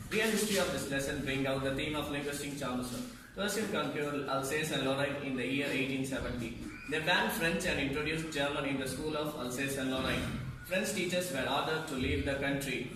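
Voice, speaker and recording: A man's voice: medium pitch, fairly quick. Distant, echoey young man narrating. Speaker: student narrator of a puppet show. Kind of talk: scripted narration. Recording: distant mic, very echoey hall, clear noise.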